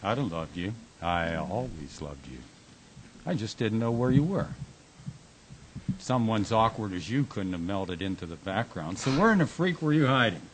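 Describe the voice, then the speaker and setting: A man's voice: on the low side, very animated, moderate pace, a little hiss or hum, poor audio. A man acting a teasing, devoted husband and Navy veteran in a radio drama, wisecracking and plain-spoken. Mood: tender, earnest.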